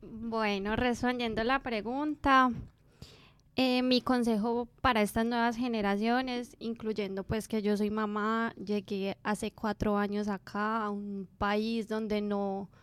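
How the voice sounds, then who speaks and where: A woman's voice: a little high, flat delivery, takes it slowly, clean tone, no echo. A woman guest on a radio show, giving earnest advice to young people. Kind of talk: answering a question on air.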